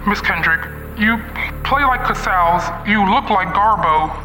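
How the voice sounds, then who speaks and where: A shady, boastful dealer in a radio mystery, with a high voice full of slangy wisecracks. He sounds domineering, in a roomy space with music underneath. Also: lively intonation, measured pace. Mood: boastful, wary. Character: shady, domineering, fast-talking male instrument dealer. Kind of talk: old-time radio mystery acting.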